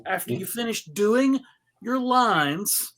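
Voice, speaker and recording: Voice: medium pitch, lively intonation, slow pace, a bit bright. A host narrating a casual live script reading and chatting with a wry, joking manner. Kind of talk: casual live table read with chat.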